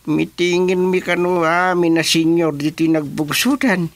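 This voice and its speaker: A male actor with an Ilocano accent playing an excitable, joking village senior in a rural comedy radio drama. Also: on the high side, unhurried. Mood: busy, matter-of-fact. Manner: teasing, animated, colloquial.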